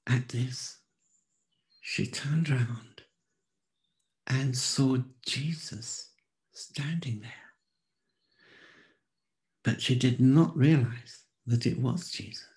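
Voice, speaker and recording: A man in his sixties giving a solemn, theatrical dramatic Bible reading with hushed pauses, close on a studio mic. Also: medium pitch. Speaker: scripture reader. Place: small room at home. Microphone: close studio condenser with pop filter.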